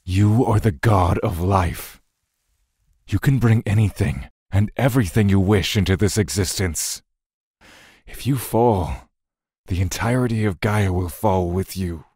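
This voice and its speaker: An actor playing a stern, dutiful god of death in a fantasy audio drama, speaking in a stiff, curt, commanding manner. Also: male voice, low-pitched, unhurried, darkish tone, slightly rough, no echo, studio quality. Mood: stern, grave.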